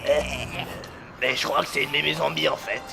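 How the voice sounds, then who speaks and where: An actor playing a hapless, hesitant, grumbling helper of Santa Claus in a comic audio drama. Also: male voice, a little high, level tone, brisk, creaky, background noise. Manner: hesitant, whiny. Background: music.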